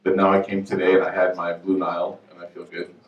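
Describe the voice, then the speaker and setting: A man's voice: low-pitched, completely flat delivery, quick pace, echoey room, distant mic, lo-fi sound. A man from Chicago, a guest speaker talking casually and joking with a student audience, easygoing with many fillers.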